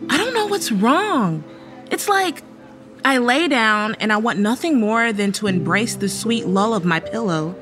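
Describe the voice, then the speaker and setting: A young woman acting a sleepless, stressed college student, with an expressive, anxious delivery in an audio drama. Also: fairly high pitch, unhurried, music in the background. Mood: weary, apologetic. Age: young adult. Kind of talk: dramatic dialogue in old time radio style audio play.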